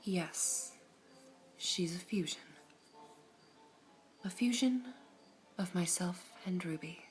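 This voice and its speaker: A woman voicing a serene all-seeing female gem in an ASMR roleplay, whispering softly in a measured, formal way. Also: fairly low pitch, soft background music. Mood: calm whispering.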